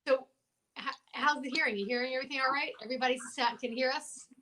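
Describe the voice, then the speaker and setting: A woman's voice: high voice, fairly even intonation, fast, a crowd in the background. A woman co-hosting a livestream with casual banter in a playful, effusive manner. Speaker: co-host of a livestream show.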